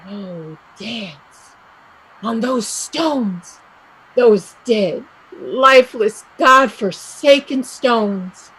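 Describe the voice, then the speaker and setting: Woman doing a dreamy bitter irish emigrant girl in a stage play, high voice, swings up and down, emotional. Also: animated, drawn-out words, fairly bright tone, slight background noise, full character voice, low-quality recording. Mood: wistful, bitter. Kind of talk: staged play reading, dramatic acting.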